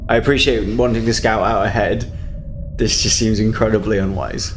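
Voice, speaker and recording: Man, tabletop player, low voice, blunt, wry, faint hiss. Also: steady tone, normal speed.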